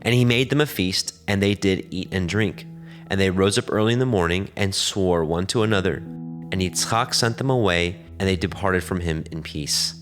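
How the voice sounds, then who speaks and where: A man narrating scripture in a solemn, formal and measured reading style. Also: low voice. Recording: music under the voice.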